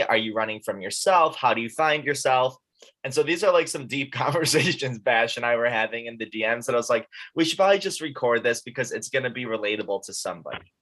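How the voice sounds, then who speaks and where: A man from upstate New York hosts a casual podcast chat. He talks loosely and conversationally, dropping "like" every few words, and his voice has a bright, forward edge. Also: mid-range voice. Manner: chatty, with frequent "like" fillers. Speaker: podcast host.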